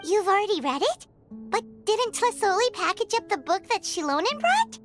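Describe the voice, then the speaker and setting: A woman voicing a tiny, excitable, childlike fairy companion in a video game. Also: normal speed. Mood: surprised. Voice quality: squeaky, childlike. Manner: exclaims, speaks of self in third person. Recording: loud children's music behind. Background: music.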